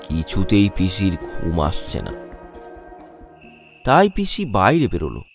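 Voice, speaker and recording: A man narrating a suspenseful animated horror story in a dramatic storytelling way. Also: low voice, a little slow. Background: background music.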